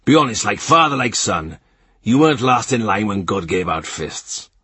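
A man voicing a loud, bullying middle-aged innkeeper in a storybook reading, coarse and mocking. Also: on the low side, sing-song, normal speed, husky, no room sound, exaggerated voice. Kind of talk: audiobook character voice.

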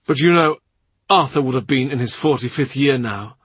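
A troubled, brooding king, voiced by a man in an audiobook, carried higher and fuller than the narration. The tone is husky, set close in a dry booth. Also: normal speed, a bit bright, no echo. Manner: brooding, troubled, speaks at length. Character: weary anxious middle-aged male king.

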